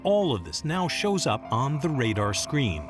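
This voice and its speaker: A man's voice: mid-range voice, very animated, measured pace, over loud music. A man reading scripted documentary narration as the narrator.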